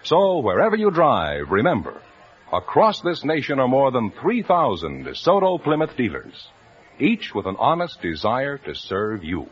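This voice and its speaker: A man announcing on a radio quiz show and reading sponsor ads in an emphatic, booming delivery. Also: wildly swooping pitch, moderate pace, slightly rough. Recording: dull old radio broadcast.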